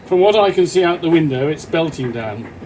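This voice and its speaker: A mild, courteous man, played by an amateur actor chatting in a radio play. His slightly high voice is conversational and quietly wry, with faint noise on the recording. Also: animated, a little fast, lightly acted. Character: mild, courteous married male train passenger, quietly wry.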